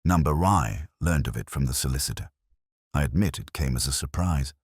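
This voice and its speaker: Composed, guarded Victorian lady of a storybook, read by a man, formal, very low pitch, lively inflection. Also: normal speed, breathy edge, dry recording, studio quality, full character voice. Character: guarded, composed upper-class Victorian woman, the niece. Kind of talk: audiobook character dialogue.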